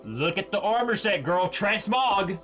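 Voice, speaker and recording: High-pitched, animated, slangy male streamer. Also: measured pace. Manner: blunt, slangy, profane. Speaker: gaming streamer. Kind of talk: casual game reaction commentary. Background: faint game music.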